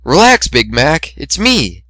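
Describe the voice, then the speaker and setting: A man's voice: a little high, wildly swooping pitch, takes it slowly, sharp tone, dry recording, pitched above the performer's own voice, heavily acted. A man voicing a brash young female flying pony in a story, with a breezy, teasing manner.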